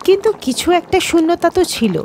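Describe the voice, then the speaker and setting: Woman reading a kids story, really high voice, very animated delivery. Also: a little fast, slight background noise. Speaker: narrator. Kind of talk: scripted children's story narration.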